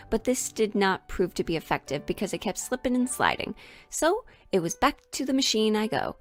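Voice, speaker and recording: Casual, candid woman vlogging a sewing project. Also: mid-range voice. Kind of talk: casual vlog chat and project narration.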